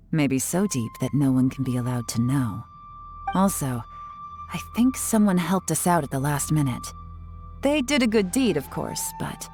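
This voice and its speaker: A woman's voice: sits low, darkish tone. A woman playing a cool, shrewd, teasing secret operative in a video game, with a sly, knowing manner. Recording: music under the voice.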